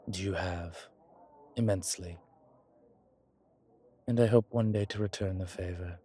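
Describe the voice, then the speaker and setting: Deep, slow-talking old vampire gentleman played by a man. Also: steady tone, husky. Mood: sincere, grateful. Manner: theatrical, formal, hesitant pauses, soft chuckles. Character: old male vampire, vain, dramatic, genteel, grateful, rueful. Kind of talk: intimate roleplay audio drama monologue.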